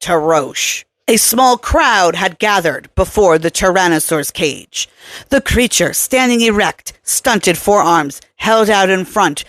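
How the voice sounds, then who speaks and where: A woman's voice: mid-range voice, animated, unhurried, fairly bright tone, no room sound. A woman reading scripted horror fiction aloud as an audiobook narrator.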